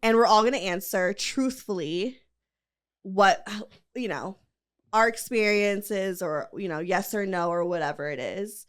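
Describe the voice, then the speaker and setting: A woman's voice: mid-range voice, measured pace, clear, resonant tone. A woman hosting a podcast, chatting casually and rambling with lots of fillers and giggles. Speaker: podcast host. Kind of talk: casual chat.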